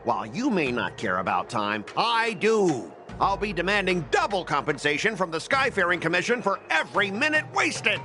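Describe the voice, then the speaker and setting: A man's voice: sits high, very animated, normal speed, hardly any pauses, sharp tone, faint music behind, exaggerated voice. A man playing an irritable, blustering middle-aged corporate cargo trader in a video game, exasperated and sarcastic in a theatrical way. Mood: bitter, demanding.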